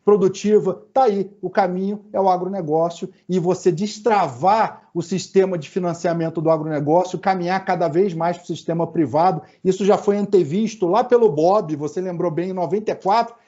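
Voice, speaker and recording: A man's voice: sits high, a little fast, mellow, highs cut off. A man with a Rio de Janeiro accent, a lawyer giving long flowing interview answers, often tagging on "né". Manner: flowing, explanatory, frequent "né". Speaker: lawyer guest.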